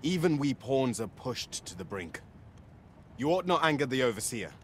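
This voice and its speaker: Man voicing an earnest, expressive fantasy servant. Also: mid-range voice, moderate pace, slight background noise, close to an everyday voice. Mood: cautioning. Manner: formal, earnest, courtly phrasing. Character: solicitous, cautious male pawn, humanlike servant. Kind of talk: archaic fantasy game dialogue.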